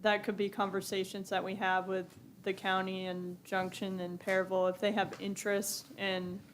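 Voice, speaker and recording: Flat-voiced woman explaining procedure. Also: mid-range voice, moderate pace, a little hiss or hum. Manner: plain, explanatory, agreeable. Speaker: planning staff presenter. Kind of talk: public meeting remarks. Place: public meeting room.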